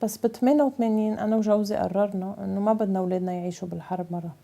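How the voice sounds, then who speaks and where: A woman's voice: medium pitch, steady tone, racing, gravelly, mellow. A mature Lebanese woman, a native Arabic speaker, telling her personal story in an emphatic way with a Lebanese accent. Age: 50s or older. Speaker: interviewee, mother telling her life story. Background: plucked guitar music.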